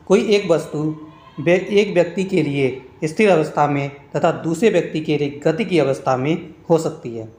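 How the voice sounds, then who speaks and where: A man narrating a scripted lesson as an exam-prep teacher, reading out points one by one in an instructional way. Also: a little high. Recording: echoey room.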